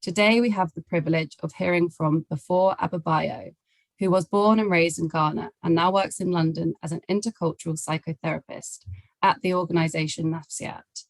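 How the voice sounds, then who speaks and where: A woman's voice: on the low side, fairly even intonation, moderate pace, dry recording, rough recording. A woman hosting an online webinar, giving a polite, scripted welcome and introduction. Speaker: webinar host. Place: online video call.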